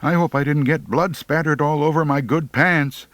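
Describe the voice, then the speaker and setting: A jittery teenage boy, acted by a male narrator, hesitant and sheepish. His pitch lifts and leaps as he speaks, in a dry, close storybook recording. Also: fairly even intonation, normal speed, a bit bright, dry recording, close to an everyday voice. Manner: hesitant, stammering.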